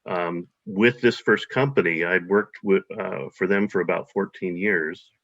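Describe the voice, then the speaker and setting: A man's voice: deep voice, unhurried, a bit bright. A man being interviewed, talking hesitantly with many fillers and restarts. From United States. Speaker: guest. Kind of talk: casual interview. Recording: dull lo-fi audio.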